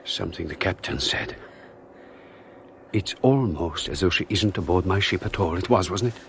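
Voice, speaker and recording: An actor playing a suave, scheming husband in a 1980s radio drama, smooth, smug and mocking. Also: male voice, low-pitched, expressive, normal speed, a bit bright, slight background noise. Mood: calculating. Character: suave scheming male husband, middle-aged.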